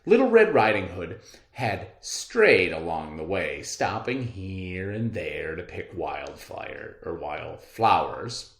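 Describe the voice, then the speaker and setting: A man's voice: low voice, sing-song, slow pace. A man reading a children's story aloud as a storyteller, with theatrical, emphatic phrasing. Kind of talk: animated children's read-aloud. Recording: roomy, echoey indoor recording.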